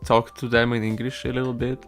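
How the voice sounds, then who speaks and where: A man's voice: medium pitch, level tone, a little fast, fairly bright tone, soft background music. A young man whose first language is Russian, chatting casually and rambling with stream viewers while he codes, swearing now and then. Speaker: solo game developer streaming.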